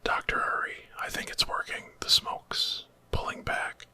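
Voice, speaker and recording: Man playing nervous young female companion, dry and sardonic, bright breathy whisper, horror storybook. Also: a little slow. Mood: urgent.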